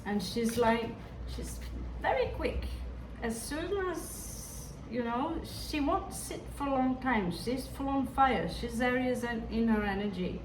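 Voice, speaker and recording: Woman tarot reader with a Hindi-tinged accent, unhurried and musing, distant mic in a reverberant room with noise behind. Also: on the high side, animated. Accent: Hindi-influenced. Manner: musing, hesitant, talks to the viewer directly. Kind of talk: tarot reading to viewers.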